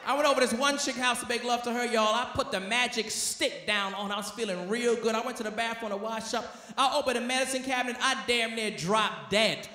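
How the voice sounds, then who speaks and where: An American man in his thirties doing stand-up comedy in a loud, animated, profane manner on a handheld microphone. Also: extremely high pitch, brisk, hardly any pauses. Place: large theater stage with live audience. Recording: echoey theater PA, audience noise.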